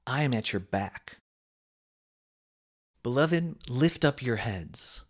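A man reading a sermon aloud with a measured, emphatic preaching cadence. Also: mid-range voice.

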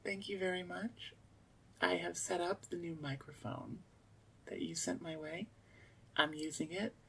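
A woman talks casually to her audience into a close microphone. Her voice has a creaky edge, and she is chatty, often doubling words for emphasis or correcting herself. Faint noise sits behind her. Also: fairly low pitch, fairly even intonation, a little slow, fairly bright tone, distant mic, poor audio. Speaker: audio roleplay creator and voice actor. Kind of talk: casual outro chat to listeners. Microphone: close studio-style microphone, seated.

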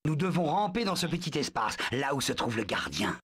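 Self-important military animal, male, lively pitch, breathy edge. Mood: commanding. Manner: brisk, commanding, self-important. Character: bossy military-minded male zoo animal. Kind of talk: video game dubbing.

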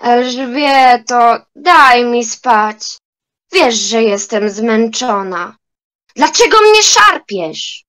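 A woman's voice: a little high, lively intonation, a bit bright, heavily acted. A young woman playing a grumpy, spiteful old grandmother in an amateur radio play, complaining and indignant. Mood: tired, annoyed. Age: young adult. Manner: querulous, indignant, drawn-out.

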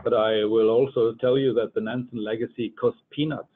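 A man's voice: fairly even intonation, fairly quick. Older man, phone-like sound. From Germany. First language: German. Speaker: research scientist giving a presentation. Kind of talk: conference presentation. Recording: muffled phone-like line, rumbling noise.

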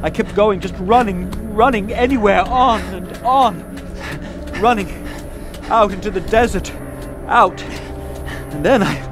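An actor playing a haunted, guilt-stricken crusader, recounting in a halting, anguished way in a historical audio drama. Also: male voice, high-pitched. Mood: frantic despair. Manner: halting, repeating words, anguished.

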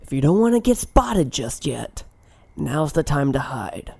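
A woman's voice: low-pitched, sing-song, unhurried, vocal fry, a little mellow, breathy edge, exaggerated voice. A woman playing a hesitant, curious companion in an audio drama, with a tentative, casual manner. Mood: wary, curious.

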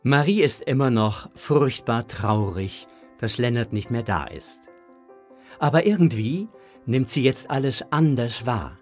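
A man narrating a children's audio drama in a measured, expressive storytelling manner. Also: mid-range voice.

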